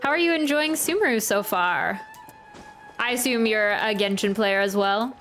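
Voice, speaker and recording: A woman's voice: medium pitch, normal speed, fairly bright tone, faint music behind. A young adult woman chatting casually on a game livestream in a friendly, giggly, slightly apologetic way.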